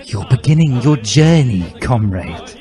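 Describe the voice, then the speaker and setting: A man's voice: medium pitch, big pitch swings, measured pace, warm tone, soft background music. A man voicing a smug, fervent teenage boy revolutionary in a preachy, ranting manner from a story reading. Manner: ranting, preachy, foul-mouthed emphasis.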